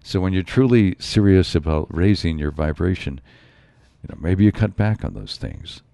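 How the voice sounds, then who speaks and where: A man hosting his own show in a rambling solo monologue, his voice a bit raspy from a slight cold. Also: low-pitched, animated, normal speed, mellow, dry recording. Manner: rambling, musing, frequent asides. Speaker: show host.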